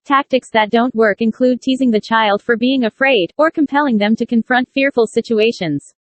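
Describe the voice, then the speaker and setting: Higher-voiced woman reading aloud. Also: normal speed, dry recording. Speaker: reader of practice passages. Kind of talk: scripted read-aloud narration.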